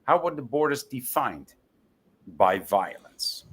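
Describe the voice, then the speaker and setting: A man's voice: medium pitch, very animated, takes it slowly, fairly bright tone, breathy edge. A man whose first language is Dutch, arguing insistently in an informal live debate. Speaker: debate panelist.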